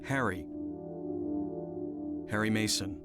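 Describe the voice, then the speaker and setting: An anxious ordinary dad in a nineties video game. His voice is on the low side, plain and earnest, with halting lines. Loud game music runs behind him. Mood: puzzled. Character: worried everyman father searching for his little daughter. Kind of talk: 1990s video game voice acting.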